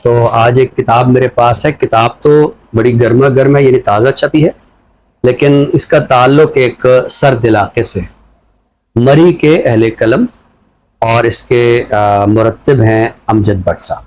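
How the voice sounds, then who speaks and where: Male, Pakistani accent, mid-pitched voice, literary presenter with measured phrasing. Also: darkish tone, poor audio. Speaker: literary show presenter reciting a poem and introducing a book. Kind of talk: poetry recital and literary talk.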